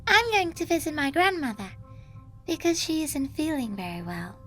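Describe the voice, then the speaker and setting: Innocent little storybook girl: sweet, polite, very high, lilting widely. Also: sing-song, normal speed, fairly bright tone, soft background music. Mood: polite, cheerful. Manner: polite, wide-eyed. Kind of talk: children's audio fairy tale.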